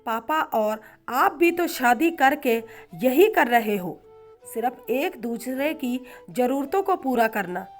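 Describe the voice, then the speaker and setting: A woman's voice: sits high, animated, slow pace, faint music behind. A woman voicing a brazen nineteen-year-old daughter who argues back with rhetorical questions in a narrated story. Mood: brazen, indignant.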